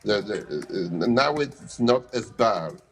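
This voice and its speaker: A man's voice: on the low side, animated, takes it slowly, breathy edge, soft background music. A middle-aged man with a Polish accent answering interview questions casually, often restarting phrases and adding "you know". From Poland. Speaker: interviewee, anesthesia provider.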